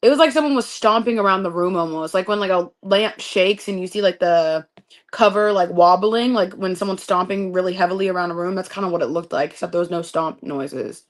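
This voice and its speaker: Expressive young woman telling a story. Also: fairly low pitch, fairly quick. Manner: expressive, storytelling with vivid detail. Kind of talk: casual storytelling chat.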